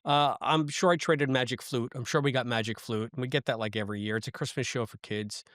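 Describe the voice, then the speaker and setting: A man's voice: mid-range voice, fairly even intonation, fast talker, fairly bright tone, no room sound. Man co-hosting a podcast, close dry sound. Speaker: podcast co-host. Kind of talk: casual podcast chat.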